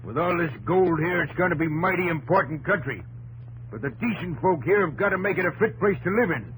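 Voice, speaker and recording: An actor playing a fiery American frontier newspaper publisher and vigilante leader, blustery and folksy, in a 1950s radio drama. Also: male voice, sits high, animated, brisk, a bit bright. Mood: fiercely righteous. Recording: noisy old radio broadcast with hum.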